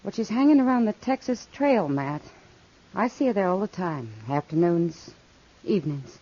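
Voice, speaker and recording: A woman's voice: medium pitch, lively intonation, moderate pace, dark tone. A woman playing a worldly, concerned frontier woman with an American accent, earnest and confiding, in 1950s radio western style. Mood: worried. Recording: dull vintage radio recording.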